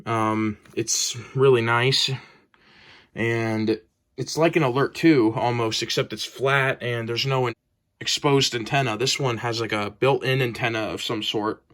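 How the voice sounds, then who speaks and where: A man's voice: mid-range voice, fairly even intonation, unhurried, fairly bright tone. Guy doing a casual collection show-and-tell, kinda rambly and hesitant, plain talk, unscripted. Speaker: hobbyist collector presenting weather radios.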